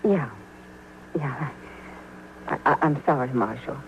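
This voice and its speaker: An actress playing a sad, guarded frontier woman with halting American speech, in old-time radio western style. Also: very deep voice, gravelly, heavily acted. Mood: sad, hesitant. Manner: halting, stammering, reticent. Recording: muffled, hissy old radio recording.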